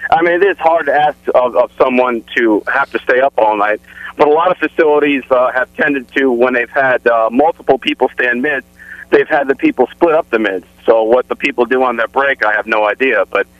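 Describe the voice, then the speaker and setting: A man's voice: mid-range voice, no echo. A middle-aged man with an American accent calling a radio show, explaining his point in an earnest, matter-of-fact way. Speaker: phone-in caller, air traffic controller. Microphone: telephone. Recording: muffled phone-in line, dry.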